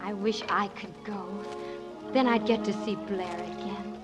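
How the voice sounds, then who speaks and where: A woman's voice: mid-range voice, fairly even intonation, measured pace, over loud music, lightly acted. An actress in a 1950 American radio musical comedy playing a sweet, wistful, wide-eyed young working girl. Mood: wistful, eager. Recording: old 1950 radio broadcast, loud orchestra.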